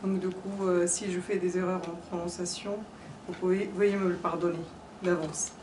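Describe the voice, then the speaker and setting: A woman's voice: on the low side, flat delivery. A young woman giving a formal conference talk in French, which is not her first language, speaking hesitantly with frequent fillers and restarts. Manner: hesitant, many pauses and fillers. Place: conference hall. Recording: echoey hall, distant mic, background noise.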